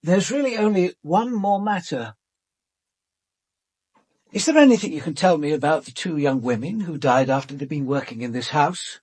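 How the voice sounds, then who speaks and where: An actor playing a reserved British police commander in a radio drama, speaking with formal, measured precision as he questions and narrates. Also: fairly high pitch, lively intonation, a little mellow, husky, no echo. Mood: probing, courteous. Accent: British English. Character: male police commander, measured, probing, reserved yet compassionate detective. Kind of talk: radio drama dialogue and first-person narration.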